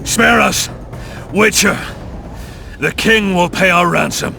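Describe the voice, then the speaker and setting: An actor playing a panicky soldier in a video game, loud and pleading in a strained, creaky voice. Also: male voice, high voice, takes it slowly, background noise, full character voice. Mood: desperate. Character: panicky male soldier begging for surrender. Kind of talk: video game character acting. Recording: loud music behind.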